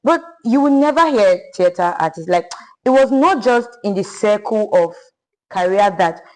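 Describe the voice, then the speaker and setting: A young woman from Nigeria, a polite podcast guest who says ma'am and has a naturally loud voice. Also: mid-range voice, lively intonation, moderate pace, low-quality recording. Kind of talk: podcast interview.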